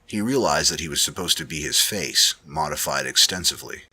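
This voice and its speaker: Deep-voiced man reading a story dramatically. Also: big pitch swings, fairly quick, gravelly, bright, forceful tone, breathy edge, no echo. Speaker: narrator of fan fiction readings. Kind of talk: dramatic scripted story narration.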